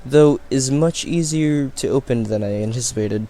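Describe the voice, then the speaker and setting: A man's voice: mid-range voice, normal speed, dark tone. A man reading a visual novel aloud, often stumbling and restarting words. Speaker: let's play reader.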